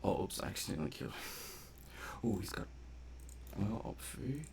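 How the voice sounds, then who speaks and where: A man's voice: lowish voice, animated, moderate pace, gravelly, background noise. A man giving casual, playful gameplay commentary, half muttering his reactions to himself. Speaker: game streamer and commentator.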